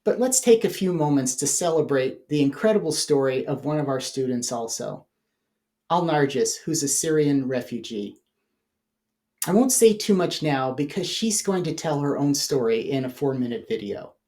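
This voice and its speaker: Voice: medium pitch, expressive, moderate pace, dark tone. Plain-spoken organization head giving a speech. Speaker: CEO and host of the organization. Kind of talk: speech introducing a guest.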